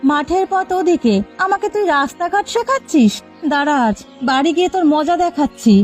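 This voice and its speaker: A woman voicing a nosy, sharp-tongued village housewife and mother in an animated folk tale. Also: very high voice, a little fast, faint music behind. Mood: scolding.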